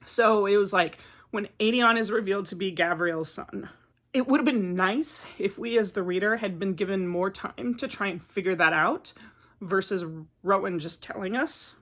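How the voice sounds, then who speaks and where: A woman's voice: mid-range voice, normal speed, fairly bright tone. A woman getting over a cold, chatting casually about books in a vlog, rambling with many filler words. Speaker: book vlogger. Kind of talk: casual book review vlog.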